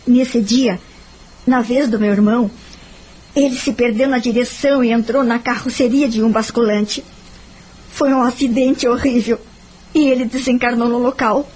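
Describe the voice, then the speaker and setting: A woman's voice: on the high side, a little mellow, husky, poor audio. A woman with a Brazilian accent acting a wounded young woman who confides her past in an audio novel. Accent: Brazilian Portuguese. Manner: sorrowful, confiding.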